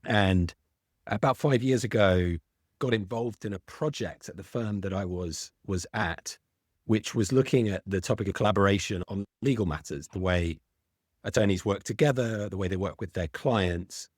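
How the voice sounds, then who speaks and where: Deep-voiced British man explaining. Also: normal speed, dry recording, studio quality. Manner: articulate, explanatory, repeats small words when restarting. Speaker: guest, legal tech founder. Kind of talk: podcast interview.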